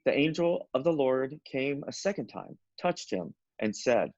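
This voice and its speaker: A man's voice: medium pitch, very animated, a little slow, a little mellow, no echo, highs cut off. A man narrating a scripture story as a scripted reading.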